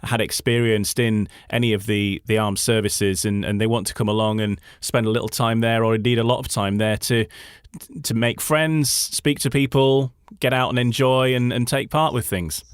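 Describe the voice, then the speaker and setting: A man's voice: mid-range voice, level tone, normal speed, clear, resonant tone, no echo. Male radio interviewer, close dry sound.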